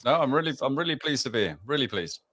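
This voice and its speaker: A man's voice: medium pitch, big pitch swings, quick pace, a bit bright. A man in his sixties with an English accent, a guest on a podcast, talking chattily with fillers and tag questions. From England. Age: 60s. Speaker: guest author. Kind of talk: podcast interview.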